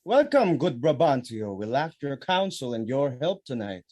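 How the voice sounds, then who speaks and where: A man's voice: mid-range voice, big pitch swings, normal speed, a little mellow. A man playing an authoritative, courtly ruler in a Shakespearean audio drama. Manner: courtly, decisive delivery. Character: formal, authoritative male ruler of state.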